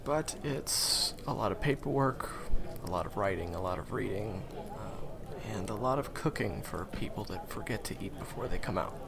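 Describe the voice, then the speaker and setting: A man's voice: fairly low pitch, lively intonation, moderate pace, creaky, hardly any pauses, crowd noise. A man acting in an audio roleplay as a friendly, awkward, eager young guide to monster hunters, talking hesitantly and stammering to the listener. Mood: modest, chatty.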